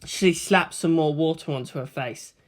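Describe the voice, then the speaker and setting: A woman reading a story aloud as narrator, in an expressive, dramatic style. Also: deep voice, normal speed.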